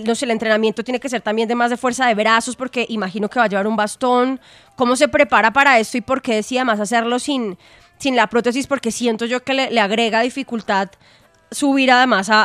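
A woman hosting a radio interview, asking wordy, halting questions in a conversational way. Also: fairly high pitch, a bit bright, no echo.

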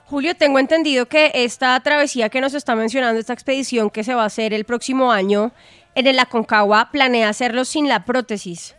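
Woman, radio host, conversational, wordy halting questions, slightly high pitch, very dry sound. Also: steady tone, a bit bright, dry recording, soft background music.

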